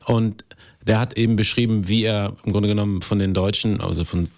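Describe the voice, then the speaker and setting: A German man with a very low voice, recorded very dry in a studio. He talks informally as an interview guest, in a native accent, pausing to find his words. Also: fairly even intonation, a little mellow, no echo. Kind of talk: casual radio interview. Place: radio studio.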